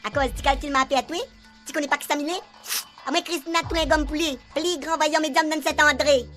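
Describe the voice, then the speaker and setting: A woman's voice: sits high, fairly even intonation, fast, a bit bright, soft background music. A woman playing an indignant, boastful local with a Réunion Creole accent in a comic radio serial.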